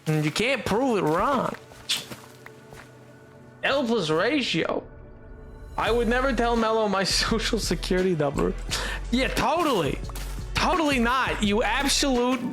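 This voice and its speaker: A man's voice: sits high, animated, measured pace, long pauses, fairly bright tone, soft background music. A man streaming and chatting casually with viewers. He talks playfully, rambling with lots of fillers and restarts. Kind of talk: casual live-stream chat, answering viewers.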